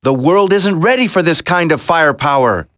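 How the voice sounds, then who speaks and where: A man's voice: on the high side, lively intonation, normal speed, a bit bright, no room sound, studio quality. A man playing an earnest, determined secret agent hero in a dramatic video game, speaking emphatically. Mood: resolute.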